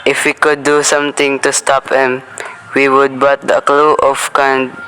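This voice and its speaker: A student playing a brusque police desk officer. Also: male voice, medium pitch, monotone, unhurried, bright, forceful tone, poor audio. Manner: brusque, patronizing.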